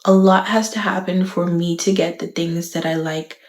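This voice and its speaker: A young woman from the Bahamas, talking to camera in a thoughtful, candid, measured way. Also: lowish voice, fairly even intonation, a little mellow, roomy sound. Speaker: vlogger. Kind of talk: reflective talk to camera.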